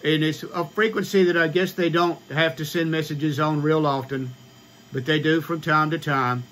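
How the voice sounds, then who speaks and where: A man in his sixties with an American accent, explaining informally to camera in a halting, matter-of-fact way. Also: fairly high pitch, steady tone, a bit bright, slight background noise. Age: 60s. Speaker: ham radio vlogger. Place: small home radio room, indoors.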